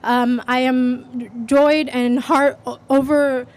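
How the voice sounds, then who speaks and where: Female rally speaker, high voice, personal and unscripted, often searching for words. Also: little pitch movement, slow, darkish tone.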